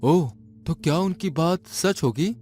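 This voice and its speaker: Man acting an eager, high-pitched male scientist. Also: expressive, unhurried, darkish tone. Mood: worried. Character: eager, anxious male scientist on a Moon mission. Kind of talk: dramatized Hindi audio story dialogue.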